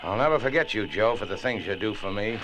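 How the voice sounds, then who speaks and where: A man's voice: lowish voice, expressive, fast, lightly acted. A man playing a tough, unflappable, wisecracking hero in a hardboiled old radio drama, with a dry, sardonic delivery. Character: tough, unflappable, wisecracking boat-owner hero held at gunpoint. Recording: muffled old radio recording, faint noise.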